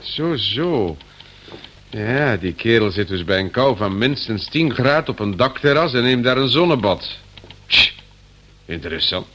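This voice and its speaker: An actor playing a pedantic police inspector in a radio play, self-important and ponderous, with hesitant questioning. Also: male voice, medium pitch, expressive, measured pace, a bit bright, lo-fi sound, a little hiss or hum. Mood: pondering.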